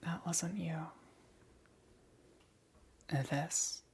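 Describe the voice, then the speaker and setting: A woman voicing a possessive, doting demon queen in an ASMR roleplay, coaxing and praising in a breathy whisper. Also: on the low side, fairly even intonation, a little mellow, a little hiss or hum. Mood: doting, teasing. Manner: slow, coaxing, condescending praise.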